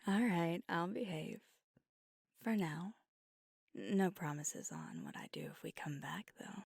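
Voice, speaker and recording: A woman acts out a flirty, affectionate female vampire in an intimate ASMR roleplay. Her voice is soft and breathy with a creaky edge, often slipping into a whisper. She takes her time, teasing playfully, and sounds very dry and close. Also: on the low side, big pitch swings, slow pace, no echo, exaggerated voice. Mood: playfully coy. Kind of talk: ASMR roleplay, intimate one-sided dialogue.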